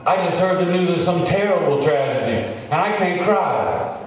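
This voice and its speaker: A man's voice: sits high, normal speed. A man reading poetry aloud as a spoken-word performance, impassioned and emphatic, with incantatory repetition. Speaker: poetry reader. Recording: very echoey reverberant hall, distant mic, noisy.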